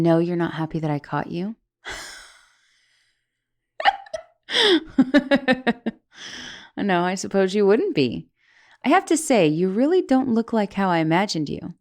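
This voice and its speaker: A woman's voice: medium pitch, a little mellow, natural voice. A woman voicing a vengeful, teasing young giantess in an ASMR roleplay monologue, mocking and menacing in a playful, theatrical way. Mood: teasing, amused. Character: gloating, teasing vengeful young giantess taunting shrunken captive.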